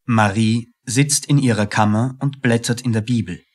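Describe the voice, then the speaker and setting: Man narrating, medium pitch. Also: moderate pace. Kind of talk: scripted radio play narration.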